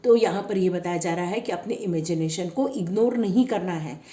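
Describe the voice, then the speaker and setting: Woman tarot reader, throat a bit sore, mellow voice, echoey room, gently encouraging. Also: fairly low pitch, moderate pace. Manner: gentle, encouraging, repetitive guidance. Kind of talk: pick-a-card tarot reading, instructional.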